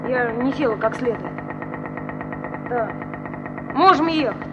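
A woman's voice: fairly high pitch, expressive, slow, very noisy. An actress in a Soviet radio play as a headstrong, idealistic young woman who talks back. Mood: defiant. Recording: dull radio recording, loud music, passing car.

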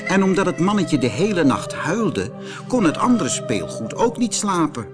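A man narrating a children's storybook in an expressive, deliberate manner. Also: sits high, loud music behind the voice.

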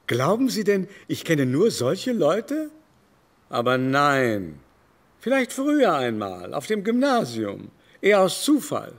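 An actor in a German radio play voicing a vain, haughty older baron with a mannered, theatrical, confiding delivery. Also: male voice, on the high side, huge pitch swings, heavily acted. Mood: affected indignation. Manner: mannered, theatrical, drawn-out emphasis.

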